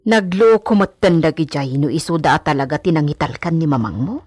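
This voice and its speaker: A woman's voice: low-pitched, animated, brisk, darkish tone, husky, no echo. A woman playing a frank, fussy older-sister household servant in a radio drama, with a blunt, gossipy way of talking. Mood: concerned, scolding. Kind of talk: Ilocano radio drama acting.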